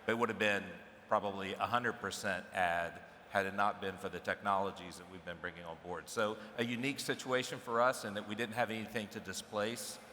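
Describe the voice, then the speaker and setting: Middle-aged man, bright voice, echoey hall. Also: fairly low pitch, steady tone, a little fast, hardly any pauses, a little hiss or hum. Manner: conversational, explains with restarts and fillers. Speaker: procurement executive panelist. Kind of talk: conference panel discussion. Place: conference stage in a large hall. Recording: echoey hall with faint ambience.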